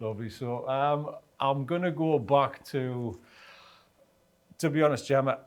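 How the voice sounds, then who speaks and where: A man's voice: medium pitch, a little slow. A man hosting a casual interview in an informal, reassuring way. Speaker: interviewer, host.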